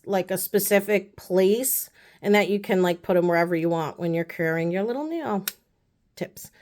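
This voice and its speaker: A woman vlogger, sick with the flu, chatting casually and rambling with lots of fillers while unboxing a haul. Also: medium pitch. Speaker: beauty vlogger.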